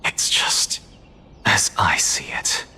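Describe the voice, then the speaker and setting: An actor playing a proud, defiant scholar in a video game, speaking in a grandiose, theatrical manner. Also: male voice, pushed and bright, whispered. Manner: grandiose, deliberate, theatrical delivery. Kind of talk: dramatic video game voice acting. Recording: background music under the voice.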